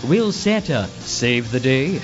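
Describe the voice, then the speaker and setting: A man's voice: mid-range voice, very animated, slow, dull audio, music in the background. A man playing the storyteller, reading scripted narration for a Christmas radio play. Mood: suspenseful storytelling.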